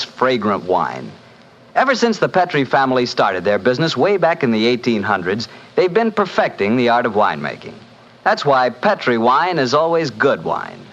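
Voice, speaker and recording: A man with an American accent announcing and pitching for a sponsor in 1940s radio style. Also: a bit bright. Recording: dull 1940s radio recording.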